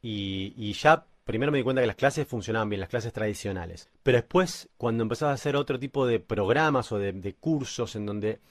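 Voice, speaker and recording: A man's voice: on the low side, fairly quick, few pauses, no room sound. A man in his forties with a Buenos Aires accent answering interview questions conversationally, hesitating and repeating words as he thinks aloud. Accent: Buenos Aires Argentine. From Buenos Aires, Argentina. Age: 40s. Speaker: guitar teacher and musician interviewed as a client.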